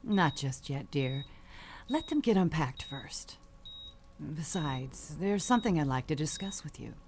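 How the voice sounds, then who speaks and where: A woman playing an elderly, dying perfume matriarch in an audio suspense drama, genteel, measured and sly, with cutting endearments. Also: deep voice, lively intonation, a little mellow, a little hiss or hum. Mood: quietly commanding.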